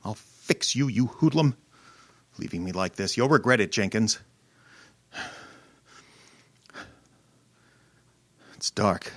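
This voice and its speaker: A man's voice: lowish voice, lively intonation, normal speed, fairly bright tone, no room sound. An actor playing an irascible, domineering rich older man, imperious and sputtering, who turns cowardly under threat, in old-time radio horror style. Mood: indignant muttering. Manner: blustering, imperious, sputtering and stammering.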